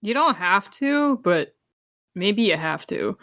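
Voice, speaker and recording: A woman's voice: mid-range voice, sing-song, slow, fairly bright tone, no room sound, studio quality. A woman chatting casually as a player in a tabletop role-playing game, thinking aloud.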